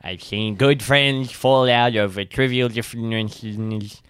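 A man playing a small, big-hearted but bossy wandering adventurer, giving folksy, earnest pep talks with dramatic pauses in an improvised audio drama. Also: mid-range voice, fairly even intonation, fairly bright tone, clean tone, dry recording.

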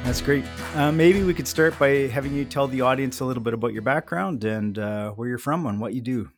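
A man's voice: mid-range voice, fairly quick, faint music behind. A man, a veterinarian hosting a cattle health podcast, reading a scripted intro and then asking easy, friendly questions. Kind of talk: podcast interview.

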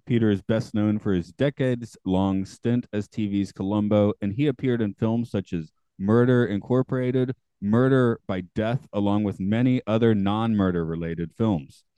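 A man hosting a podcast in casual chat, with a morning gravel in his voice, chatty and quick to laugh at himself. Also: on the low side, steady tone, dark tone, no echo. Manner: chatty, self-deprecating, laughs often. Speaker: podcast host.

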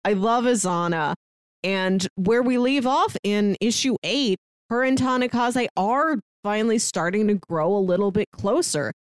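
A woman co-hosting a podcast, chatting casually and retelling stories in an animated way. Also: medium pitch, measured pace, smooth, no echo. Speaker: podcast co-host. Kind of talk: casual book discussion.

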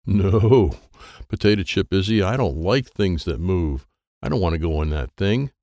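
A man's voice: sits low, lively intonation, normal speed, dark tone, no room sound, clean studio sound. A man voicing a small, timid but determined schoolboy who talks in short, blunt phrases in a children's story. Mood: reluctant.

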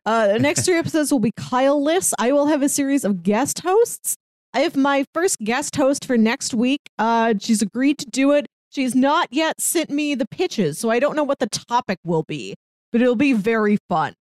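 A woman's voice: high-pitched, animated, moderate pace, a little mellow, no room sound. A woman hosting a podcast in an informal, casual chat. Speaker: podcast host.

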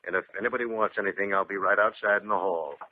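A man playing a plain, fidgety bodyguard to a president in a 1950s radio drama, brisk, clipped and informal. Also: on the low side, fairly even intonation, natural voice. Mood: brisk, officious. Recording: noisy, narrow-band 1950s radio recording.

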